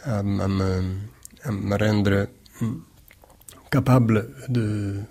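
Slow, gravelly Italian-accented man. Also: lowish voice, fairly even intonation, mellow. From Naples, Italy. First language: Neapolitan. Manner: halting, searching for words, many repeated starts. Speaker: writer interviewed as guest. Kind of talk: reflective interview answer.

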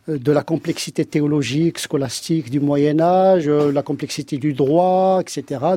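A man's voice: fairly high pitch, mellow, dry recording. A man speaking on a discussion panel, explaining his points hesitantly with many fillers.

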